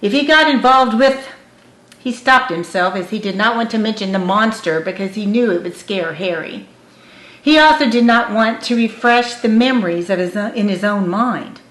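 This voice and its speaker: A woman's voice: medium pitch, moderate pace. Woman narrating a story in an echoey room. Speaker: audiobook narrator. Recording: roomy, echoing room.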